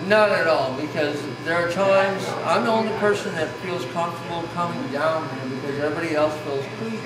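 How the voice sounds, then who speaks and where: A man giving casual, plain-spoken interview answers in a halting way, as a venue staffer showing people around. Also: fairly high pitch, a crowd in the background. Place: inside a saloon bar. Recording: echoey room with loud music.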